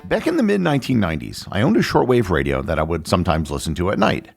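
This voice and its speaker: American man, podcast host, very animated pitch, creaky low voice, scripted explainer, measured delivery. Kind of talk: scripted educational narration.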